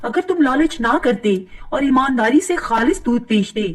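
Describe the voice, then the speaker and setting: A fed-up wife, voiced by a woman, scolds her husband in an exasperated way. Her voice sits fairly high and sounds a little off-mic. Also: lively intonation, normal speed, far from the mic.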